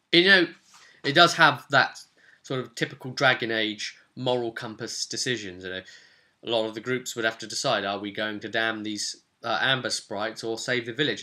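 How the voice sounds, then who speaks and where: A man with a low, forceful, bright-edged voice gives an informal recap as a game master. He tells it as a story, animatedly slipping in short quoted lines as he goes. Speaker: game master recapping a tabletop role-playing session.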